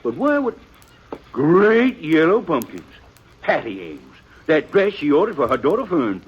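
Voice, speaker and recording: A man's voice: on the high side, sing-song, measured pace, slightly rough, exaggerated voice. An actor playing a chatty, folksy frontier wagon peddler with a rural Western American accent and an eager salesman's patter, in 1950s radio western style. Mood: flustered. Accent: rural American West. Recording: muffled, noisy old radio recording.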